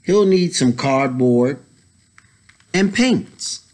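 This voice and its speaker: A man in his thirties reading a children's picture book aloud, pausing to add casual explanatory asides for young listeners. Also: medium pitch, animated, darkish tone. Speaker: story time reader, educator. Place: indoors, school library.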